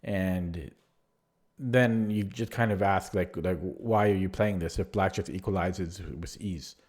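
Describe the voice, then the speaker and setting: A man going over one of his own chess games move by move in a relaxed, rambling way, with a voice that is going hoarse. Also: sits low, unhurried. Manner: rambling, many fillers and restarts. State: losing his voice. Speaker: chess player reviewing his own game. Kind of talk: casual spoken chess analysis.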